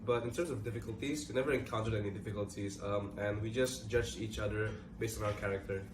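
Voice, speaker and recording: A young Indonesian man talks quickly and casually in a panel chat, dropping in little fillers as he goes. He sounds set back from the mic in an echoing room, with clear background noise around him. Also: on the low side, fairly even intonation. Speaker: co-host. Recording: roomy echo, distant mic, audible room noise.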